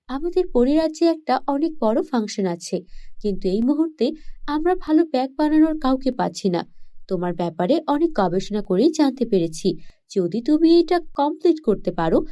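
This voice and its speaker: A woman plays a courteous fairy in a children's cartoon story. Her voice is high, soft-edged and mellow. She speaks gently and politely, with a kindly manner. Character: kind, courteous beautiful fairy asking for help.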